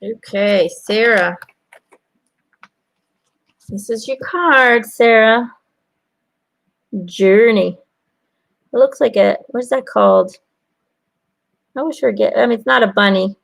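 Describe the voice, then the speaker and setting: Chatty, gravelly-voiced Belgian woman reading cards. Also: fairly high pitch, takes it slowly, pauses often. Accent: American-sounding English. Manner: chatty, hesitant, repeating names and phrases. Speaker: angel card reader. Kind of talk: live-stream card reading. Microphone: webcam.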